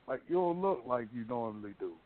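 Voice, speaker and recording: A man's voice: medium pitch, lively intonation, brisk. An American man hosting a casual call-in radio discussion, talking in a rambling, colloquial storytelling way. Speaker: radio show host. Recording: narrow phone-like sound.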